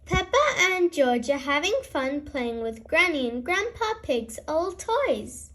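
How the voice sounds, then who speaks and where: A woman reading a children's storybook aloud as host, in an expressive storytelling style. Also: sits high, slow.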